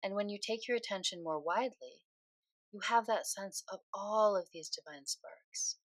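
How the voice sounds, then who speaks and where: A woman leading a guided meditation in a soothing, unhurried way, speaking phrase by phrase with pauses. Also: mid-range voice, no echo.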